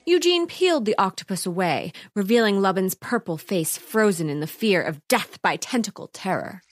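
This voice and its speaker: A woman's voice: medium pitch, expressive, normal speed, dry recording, clean studio sound. A woman narrating a scripted comic audio drama as a storyteller. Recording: music behind the voice.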